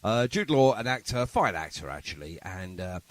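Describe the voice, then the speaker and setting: A man's voice: low-pitched, sing-song, moderate pace, no room sound. A man hosting a podcast in a casual, chatty and jokey style. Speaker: podcast host.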